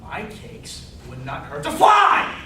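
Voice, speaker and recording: A woman playing an indignant, hot-tempered character who shouts demands in an amateur stage comedy. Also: sits high, huge pitch swings, takes it slowly, gravelly, exaggerated voice. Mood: indignant, furious. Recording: echoey hall, distant mic, audience noise.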